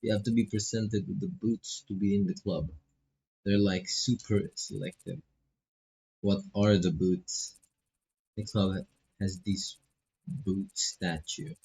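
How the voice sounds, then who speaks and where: A man's voice: fairly low pitch, fairly even intonation, takes it slowly, pauses often, darkish tone, husky, rough recording. A man with a Filipino accent commentating a visual novel as he plays, casually reading dialogue aloud with playful asides. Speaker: streamer playing a visual novel. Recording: music behind the voice.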